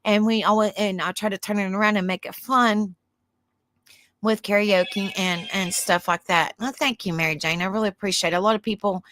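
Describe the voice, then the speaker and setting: Soft-spoken Southern woman streaming live. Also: medium pitch, steady tone, brisk. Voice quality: soft, soothing voice. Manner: chatty and rambling, answers viewer comments. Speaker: livestream host. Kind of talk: casual livestream chat, reading aloud.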